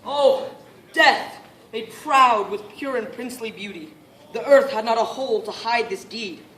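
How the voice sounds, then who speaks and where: A woman's voice: on the high side, unhurried, a bit bright. Woman playing proud angry nobleman, echoey stage. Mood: grieving outrage. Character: proud, indignant English nobleman, quick to anger. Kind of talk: amateur Shakespearean stage acting. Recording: echoey room, distant mic, faint noise.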